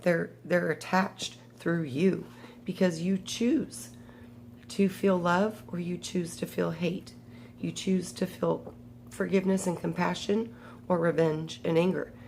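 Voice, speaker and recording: Woman reading aloud while sick with a cold, low voice, unhurried and halting, faint hum behind. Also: steady tone, creaky, a little mellow. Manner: slow, halting, with fillers. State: has a cold, voice strained. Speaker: spiritual book reader. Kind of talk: reading a book aloud with personal commentary.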